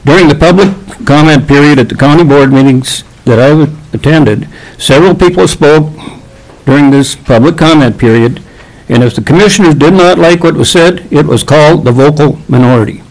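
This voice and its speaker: A man delivering a prepared public comment in a measured, formal manner. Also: a little high, rough recording. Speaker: public commenter at a county meeting.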